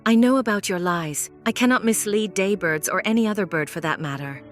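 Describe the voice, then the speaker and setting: A woman's voice: medium pitch, a little fast, lightly acted. A woman playing a defiant, taunting female bird in an audio play, speaking in a declamatory way. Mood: indignant. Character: defiant, mocking female bird, disillusioned former propagandist. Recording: music behind the voice.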